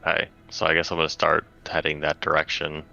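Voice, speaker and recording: A man playing a tabletop roleplaying game, talking casually in halting, hesitant phrases. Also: rumbling low, completely flat delivery, vocal fry, bright, forceful tone. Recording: dull, lo-fi mic, faint music.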